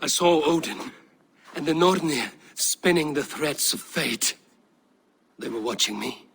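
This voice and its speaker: An actor playing a troubled, fiercely loyal Viking warrior in a video game, speaking in a halting, earnest way. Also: male voice, a little high, animated, gravelly, fairly bright tone, breathy edge, heavily acted. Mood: shaken, hazy. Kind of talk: dramatic video game acting.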